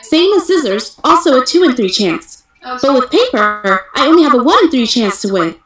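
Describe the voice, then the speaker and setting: Casting: proud anime noblewoman, fiery and indignant, very high voice with lively swings, roomy sound. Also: moderate pace, soft background music. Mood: scheming, smug. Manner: indignant, shrill, dramatic outbursts. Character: hot-tempered, proud young noblewoman who wants the kingdom. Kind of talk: anime fandub script reading.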